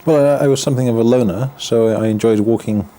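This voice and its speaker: A man from the north of England, a Buddhist monk, speaking in a documentary interview in a reflective, halting way, often restarting his words and adding wry humor. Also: mid-range voice, dark tone. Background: background music.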